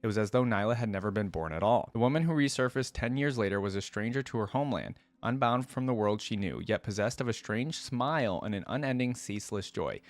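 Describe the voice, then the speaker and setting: Low-voiced man hosting a reaction video. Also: lively intonation, fast talker, few pauses, no room sound. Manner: conversational, thinking aloud. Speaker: video host. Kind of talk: casual gaming reaction commentary with read-aloud text.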